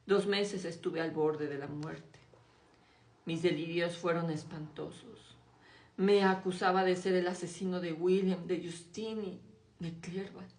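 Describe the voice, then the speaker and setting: A woman reading a classic novel aloud in a slow, hushed, dramatic way, dropping her voice low on grim passages. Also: echoey room. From Mexico. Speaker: audiobook reader.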